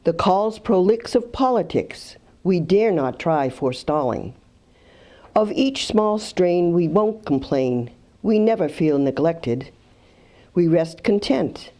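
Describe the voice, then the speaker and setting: Lilting, unhurried woman reading verse. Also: fairly low pitch, animated, mellow. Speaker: reader of poems. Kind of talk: reading rhyming verse aloud.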